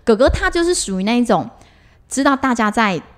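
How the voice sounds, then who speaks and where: A woman's voice: fairly high pitch, big pitch swings, brisk. A middle-aged woman with a Taiwanese accent chatting casually and expressively as a podcast co-host, recounting everyday life like a story.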